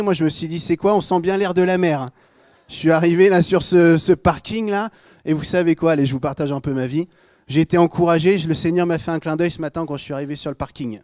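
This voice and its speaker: A middle-aged male pastor with a Norman French accent speaks to his congregation. His voice sits a little high and sounds dry, with little room around it. He preaches conversationally, joking and addressing his listeners directly. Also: a little mellow, no room sound. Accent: northern French, Normandy. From Normandy, France. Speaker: guest pastor. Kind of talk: informal sermon to a congregation.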